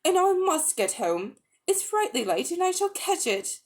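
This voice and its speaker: A woman's voice: very high voice, sing-song, moderate pace, voice pushed up. A woman voicing a cool, cutting young schoolgirl in a dramatic storybook reading. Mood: relieved, hurried.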